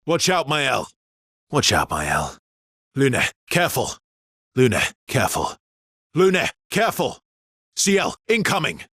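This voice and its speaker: A man voicing a weary, battle-hardened immortal swordsman in a video game, with a husky, breathy voice and terse, intense, dramatic delivery. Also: medium pitch, wildly swooping pitch, unhurried, long pauses, a bit bright, no echo, clean studio sound, full character voice. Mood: urgent warning. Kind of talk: video game combat barks.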